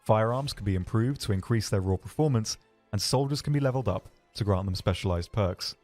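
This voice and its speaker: A man narrating a scripted game showcase as a developer, explaining features in a measured way. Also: on the low side, a little mellow, no room sound. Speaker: game developer presenting his team's game. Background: background music.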